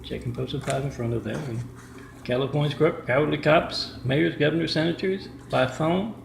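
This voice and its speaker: Man vlogger, rough gravelly voice, rambling monologue to camera; echoey room with faint hum. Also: a little high, brisk. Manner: rambling, restarts often, reads out numbers and addresses. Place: indoor apartment with a kitchen.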